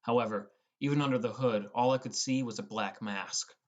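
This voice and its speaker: A man's voice: medium pitch, fairly even intonation, moderate pace. A man reading scripted first-person narration as the narrator of an audiobook.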